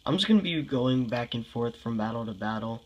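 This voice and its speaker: A man's voice: medium pitch, animated, brisk, a little mellow, muffled recording, slight background noise. A young man with a congested voice giving casual game commentary, thinking aloud, sighing often and sounding frustrated. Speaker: gameplay commentator.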